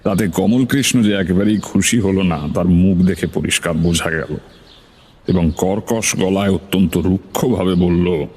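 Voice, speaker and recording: A man's voice: sits low, normal speed, darkish tone, slight background noise. A man narrating a suspense story in the first person, as a scripted dramatized reading.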